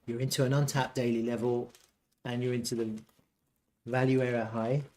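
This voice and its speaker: A man with a mid-range voice talks through analysis in an unscripted, casual way. He works out his thoughts as he speaks, often halting and circling back over his own words, like an analyst commenting live on a chart. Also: medium pitch, flat delivery, darkish tone, a little hiss or hum. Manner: thinking aloud, halting, repeats himself.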